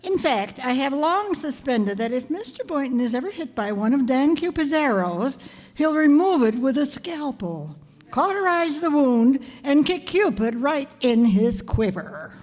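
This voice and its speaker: A woman acts out a wry young female English teacher in a radio comedy. Her voice is high and swings freely in pitch while the wisecracks stay dry. It rings out in a reverberant hall. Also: sing-song, a little slow, a little mellow. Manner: dry, sardonic delivery. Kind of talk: old-time radio comedy acting. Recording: echoey hall, live stage reading.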